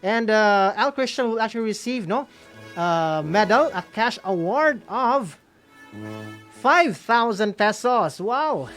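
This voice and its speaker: A woman's voice: mid-range voice, lively intonation, slow pace, fairly bright tone. Female co-host, Filipino accent, mid-range voice, reading out winners with frequent tag questions, music underneath. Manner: mixes English with Visayan, frequent "no?" tags. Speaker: co-host of an online awards ceremony. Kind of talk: announcing award winners, semi-scripted.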